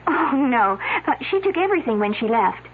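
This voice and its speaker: College girl, high animated voice, guarded n catty, muffled 70s radio play. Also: brisk, slightly rough. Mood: evasive. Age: young adult. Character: outgoing female college student, discreet yet catty. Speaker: actor in radio drama. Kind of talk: 1970s radio drama acting. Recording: muffled, hissy old radio broadcast.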